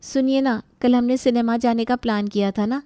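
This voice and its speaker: A woman's voice: on the high side, fairly even intonation, moderate pace, dark tone, smooth, dry recording, pitched above the performer's own voice, close to an everyday voice. A woman voicing a gossipy, scheming young daughter-in-law who feigns innocence in a moral story. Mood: coaxing.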